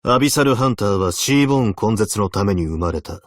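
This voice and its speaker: A man's voice: fairly low pitch, expressive, fast, no echo, studio quality, lightly acted. A man voicing a stern, weary veteran abyssal hunter in a video game, speaking in a terse, solemn, commanding way. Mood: grave. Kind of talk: Japanese video game character voice lines.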